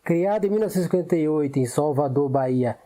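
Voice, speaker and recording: A man's voice: on the high side, lively intonation, fast talker, dark tone, soft background music. A man presenting a radio culture segment in a formal, informative scripted read.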